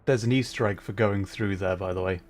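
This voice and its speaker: A man streaming as a virtual character, giving relaxed, rambling commentary while he plays and answers chat. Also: lowish voice, a little fast, music in the background. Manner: relaxed, rambling, chuckles often. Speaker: VTuber streamer. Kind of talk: casual gameplay commentary.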